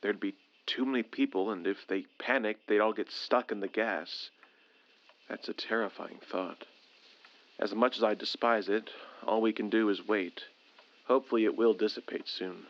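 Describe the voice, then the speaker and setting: A man's voice: fairly low pitch, fairly even intonation, normal speed, highs cut off, natural voice. A man playing an anxious, frustrated survivor of an alien invasion, formal and earnest, in an audio drama. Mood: weary, brooding. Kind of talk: dramatic audio drama acting with journal narration.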